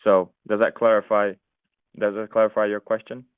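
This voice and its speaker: A man from New York making a polite, smooth sales call, heard over a phone recording. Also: on the low side, level tone, normal speed, dry recording. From New York, USA. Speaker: cold caller. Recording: tinny phone-line recording.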